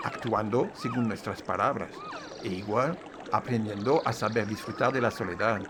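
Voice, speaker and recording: A man's voice: mid-range voice, background noise. A man giving an informal history lecture, hesitant and full of fillers. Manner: says his own Spanish is a bit crooked. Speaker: video presenter on Nahua history. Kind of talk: informal lecture, partly read aloud.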